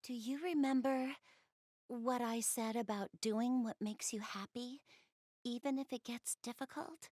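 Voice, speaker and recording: A woman's voice: on the high side, steady tone, a little slow, darkish tone, dry recording, studio quality. A woman voicing a shy, bookish young goddess in a video game, speaking hesitantly and earnestly. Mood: dejected, pensive. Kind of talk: video game character voice acting, intimate one-to-one dialogue.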